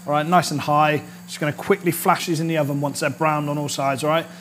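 Higher-voiced British man giving cooking instructions. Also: fairly even intonation, fast talker, fairly bright tone, a little hiss or hum. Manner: chatty, instructional, often checks with "all right?". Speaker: chef presenting a cooking series. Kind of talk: informal cooking demonstration. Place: indoor kitchen studio set.

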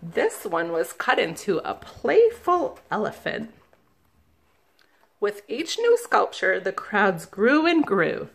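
A woman, a children's librarian, reading a picture book aloud slowly and gently, stopping to ask the listeners questions. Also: medium pitch, huge pitch swings, creaky. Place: indoors at a table, camera above the book.

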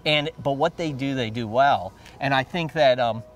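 A man's voice: medium pitch, moderate pace, slight background noise. A middle-aged man, a local newspaper publisher, chatting animatedly in an outdoor interview at a waterfront construction site. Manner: animated, talks with hands.

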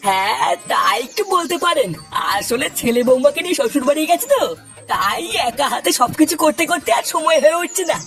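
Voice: high-pitched, lively intonation, a little fast, a bit bright, soft background music. A performer voicing a timid, grumbling, henpecked old man in a coarse Bengali comedy cartoon. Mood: friendly. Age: older.

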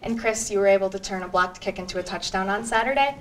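A woman's voice: medium pitch, fast talker, a bit bright, a little hiss or hum. A young woman hosting a student sports show in a small TV studio, reading a scripted intro and questions in an upbeat manner on a lapel mic. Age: young adult. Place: small TV studio set at a desk.

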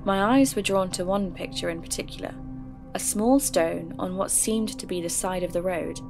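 A woman's voice: on the low side, normal speed. A woman narrating her own audio drama in an earnest, curious manner. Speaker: narrator and lead character. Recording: music bed behind voice.

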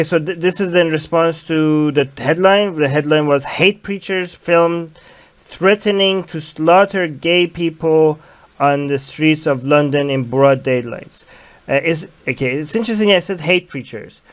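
Young male stream host, fairly high voice, headset mic, reads out chat comments and argues back casually. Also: unhurried, clean tone. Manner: reads viewer comments aloud and argues points. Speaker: livestream host.

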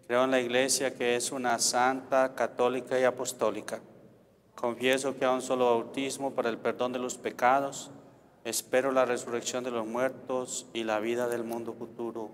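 A man speaks as a priest at Mass, reciting prayers with solemn, measured phrasing. His mid-pitched voice stays very even. It rings through a large reverberant church with a faint layer of noise. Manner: solemn, measured, formulaic delivery. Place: large church sanctuary, indoors.

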